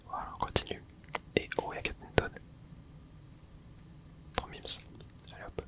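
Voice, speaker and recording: Young man whispering gentle gameplay commentary, hushed and soft throughout, with excited little asides. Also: moderate pace. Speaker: gaming ASMR creator.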